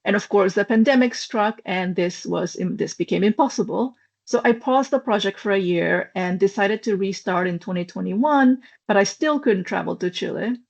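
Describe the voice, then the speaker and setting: A woman's voice: mid-range voice, moderate pace, creaky. A woman lecturer presenting her research in a webinar, speaking thoughtfully with frequent ums and uhs. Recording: slightly dull, lo-fi online call audio.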